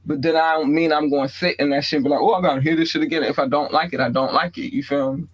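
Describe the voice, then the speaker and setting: A man's voice: on the high side, fairly even intonation, racing, husky. A man with a Baltimore accent hosting a podcast in casual, blunt talk with some swearing, on a lo-fi recording. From born in North Carolina, raised in Baltimore. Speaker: podcast host. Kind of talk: casual chat. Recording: dull lo-fi sound.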